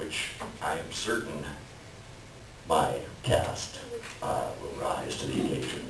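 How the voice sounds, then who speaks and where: A man's voice: very deep voice, steady tone, takes it slowly. A man giving a chatty, wryly joking introduction to an audience as host of a play reading. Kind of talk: informal stage introduction to an audience. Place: library event room. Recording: echoey room, distant mic, clear background noise.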